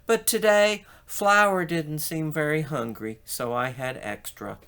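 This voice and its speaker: A man's voice: fairly high pitch, a little slow, pushed and bright, slight background noise, pitched above the performer's own voice. A man reading a children's storybook, playing a helpful, plain-spoken woman farmer. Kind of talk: children's storybook read-aloud.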